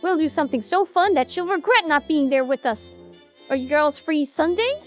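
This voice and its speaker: A woman acting as a blunt, high-voiced young idol. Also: moderate pace, soft background music, natural voice. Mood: playful, indignant. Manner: blunt, sarcastic, swearing. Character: resentful, sardonic young woman idol, bossy with friends. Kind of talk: animatic audio drama acting.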